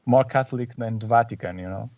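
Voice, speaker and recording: A man's voice: mid-range voice, distant mic, narrow, tinny audio. A man from Portugal with a Portuguese accent, a photographer guest who often hunts for words and restarts his sentences. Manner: searching for words, halting restarts. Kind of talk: casual podcast interview.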